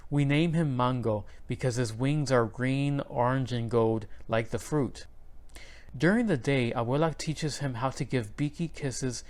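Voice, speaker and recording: A man reading a children's picture book aloud, in clear and expressive storybook narration. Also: mid-range voice.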